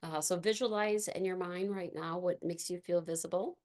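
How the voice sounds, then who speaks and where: A woman with a raspy voice leading a gentle movement and meditation class, giving soft, unhurried instructions with fillers. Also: fairly low pitch, fairly even intonation.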